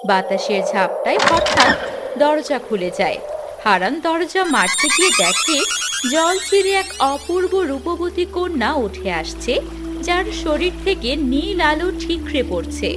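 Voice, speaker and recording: A woman's voice: high-pitched, sing-song, unhurried. A woman narrating a fairy-tale cartoon as a storyteller, in scripted storybook style. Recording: clear background noise, ambience.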